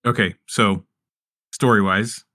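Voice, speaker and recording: Low guy doing casual tabletop roleplay, dry close sound, kinda earnest and sweary. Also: steady tone, slow pace, sharp tone, no echo.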